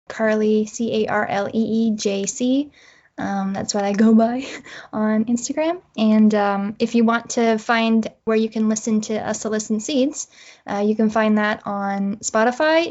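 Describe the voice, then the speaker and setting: A woman's voice: a little high, fairly even intonation, normal speed, a little mellow. A woman chatting casually and effusively as an interview guest, on slightly lo-fi audio. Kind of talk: casual chat.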